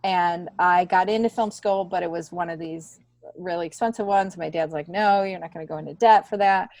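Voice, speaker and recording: A woman's voice: fairly low pitch, a little fast, no room sound. Middle-aged woman chatting candidly, dry sound. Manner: animated storytelling, acts out remembered dialogue. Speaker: comedian and TV worker, podcast guest. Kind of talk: casual, candid interview chat.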